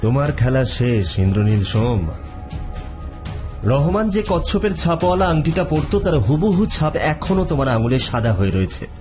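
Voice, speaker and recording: A man acting as a sharp, driven, commanding private detective in a Bengali audio drama, speaking emphatically. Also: mid-range voice, lightly acted.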